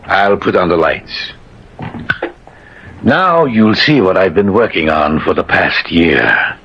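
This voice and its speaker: A man's voice: deep voice, lively intonation, slow pace, a bit bright, full character voice. An actor playing a proud, obsessive older scientist and father, declaiming grandly in a 1950s radio drama. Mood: proud, intent. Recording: muffled, noisy 1950s radio recording.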